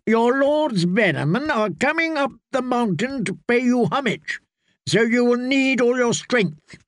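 A man doing a high reedy castle maester. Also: animated, a little slow, fairly bright tone, no echo, studio quality, heavily acted. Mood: urging. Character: dutiful male castle maester, advising.

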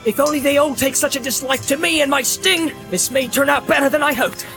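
A man doing a high, crackly-voiced loyal hobbit. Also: a little fast, creaky, music in the background. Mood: relieved. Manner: crying out, breathless and urgent. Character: stout-hearted young male hobbit, loyal and desperate.

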